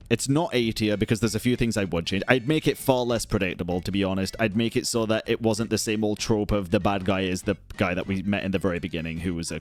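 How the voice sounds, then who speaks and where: A man doing casual, opinionated live stream commentary in a blunt, slangy way, swearing often as he ranks things. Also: on the low side. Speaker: streamer ranking game quests. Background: video game music.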